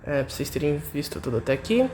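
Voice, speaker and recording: Brazilian man, fairly high pitch, casual vlog chat, hesitant, informal, noisy home recording. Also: expressive, fast, a little mellow. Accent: Brazilian Portuguese. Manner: informal, hesitant, frequent tag questions. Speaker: video creator. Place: at home, street sounds passing.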